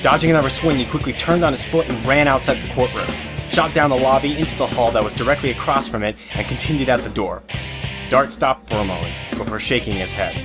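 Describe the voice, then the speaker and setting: A man's voice: medium pitch, quick pace. A man reading scripted action narration for an audio drama. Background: loud music with guitar.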